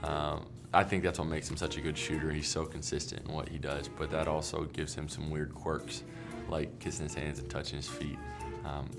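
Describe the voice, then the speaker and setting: A young man, a college basketball player, casually answering fan questions in a laid-back, deadpan way over music. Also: extremely low pitch, moderate pace, creaky, few pauses. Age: young adult. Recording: music behind the voice.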